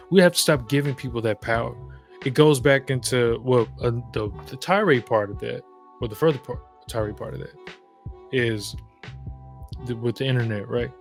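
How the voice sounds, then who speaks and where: A man's voice: medium pitch, normal speed, faint music behind. A man from the American South with a Southern accent, a podcast guest talking in a reflective, emphatic way, telling stories with frequent restarts. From Southern United States. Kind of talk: casual conversation.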